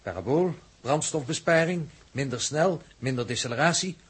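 Brisk technical crewman in sixties sci-fi radio serial; markedly rough voice, clipped, self-assured. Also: on the high side, lively intonation. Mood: brisk, confident. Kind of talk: 1960s radio drama acting.

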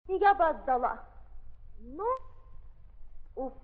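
A woman's voice: high voice, expressive, slow. An actress playing a coquettish, gushing society lady in a theatrical 1930s radio drama, with affected speech sprinkled with French. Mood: effusive, plaintive. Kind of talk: 1930s Russian stage radio drama acting. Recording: muffled 1930s recording, hall reverb.

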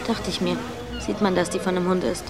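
A woman's voice: lowish voice, fairly even intonation, quick pace, over music, natural voice. An actress in a radio crime drama playing a curious, forthright girl with a direct, probing manner.

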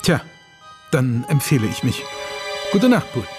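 An actor playing a courteous, troubled Victorian gentleman in a German radio play, grave and formal, with a husky voice. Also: mid-range voice, big pitch swings, over loud music, lightly acted. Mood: courteous, probing. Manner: measured, formal, brooding.

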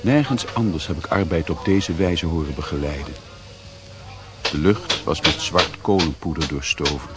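An actor playing a sombre, reflective narrator in a Dutch radio drama, reading literary prose deliberately. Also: male voice, a bass voice. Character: sombre male narrator recalling a sea voyage. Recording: noisy old radio play recording.

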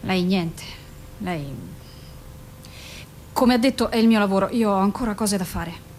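A woman playing a composed, guarded female doctor in an Italian radio drama, probing in terse, measured phrases. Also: mid-range voice, darkish tone, slight background noise, natural voice. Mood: guarded, firm.

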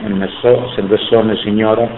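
Older man, Italian accent, low-pitched, emphatic, tinny echoey tape. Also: steady tone, fairly quick, slight background noise. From Sicily, Italy. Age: 70s. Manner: emphatic, indignant about his pension. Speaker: interviewee. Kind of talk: oral history interview.

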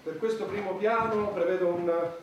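A man's voice: high-pitched, steady tone, normal speed, muffled recording, close to an everyday voice. Man acting a pompous president in an echoing hall. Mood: brisk, official. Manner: declamatory, smug, self-assured. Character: pompous male president of a warmongering state. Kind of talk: satirical radio theatre acting. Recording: very echoey, distant microphone, faint noise.

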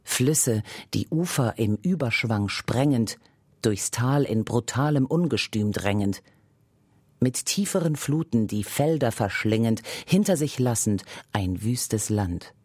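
A man gives a slow, deliberate recitation of verse at a middling pitch. The recording is dry and close, leaving each phrase on its own. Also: expressive, a little mellow, slightly rough, dry recording. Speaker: poetry reader. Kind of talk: dramatic poetry recitation.

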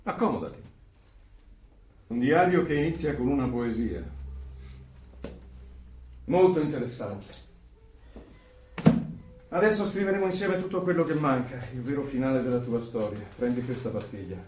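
An actor playing a cold, controlling regime interrogator in a radio drama, speaking in a measured, didactic, menacing way with authority. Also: male voice, medium pitch, lively intonation, a little mellow, faint music behind, lightly acted. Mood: calm menace. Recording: roomy, distant, faint noise.

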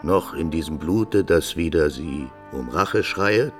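A proud, tormented father in an old radio tragedy. His voice is deep and his delivery slow, grave and declamatory. Brass music sounds behind him. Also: lively intonation, heavily acted. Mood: bitter defiance. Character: stern older father, honour-bound, anguished, defiant. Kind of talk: mid-century German radio play acting, classical tragedy. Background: music with brass and horns.

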